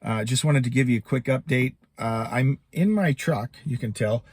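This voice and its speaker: Middle aged american guy chatting casually to his viewers, normal pitch, real dry sound like sitting in a truck. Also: normal speed, slightly rough, dry recording. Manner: conversational, chatty. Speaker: YouTube host and voiceover podcaster. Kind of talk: casual vlog update to camera. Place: inside a parked truck.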